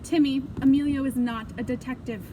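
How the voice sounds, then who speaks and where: A woman voicing a patient, reasonable mother from a children's storybook, gently correcting her son. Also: high voice, steady tone, brisk, lightly acted. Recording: clear background noise.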